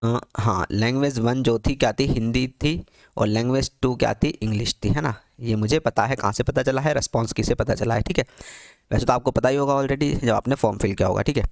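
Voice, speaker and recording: A man with a gravelly edge to his voice explains an answer key step by step. He keeps asking a question aloud, answers it himself and repeats the point. He is recorded close up on a dry, deadened mic. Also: mid-range voice, no echo. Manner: repetitive, rhetorical questions with quick answers. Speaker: exam tutor. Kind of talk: screen-walkthrough tutorial.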